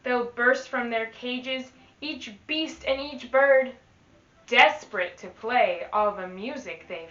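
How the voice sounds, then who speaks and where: A woman with a very bright, clear-cut delivery reads to young children at a very slow, careful pace. She is expressive and instructional, like a teacher at story time. The sound is echoey and a little dull, as if in a live room. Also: high-pitched. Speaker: teaching artist reading to children. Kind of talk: slow, enunciated children's read-aloud.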